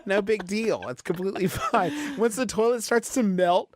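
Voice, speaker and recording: A man's voice: high-pitched, animated, moderate pace, a little mellow, no room sound. A man hosting a comedy podcast, reading out listener questions and bantering casually.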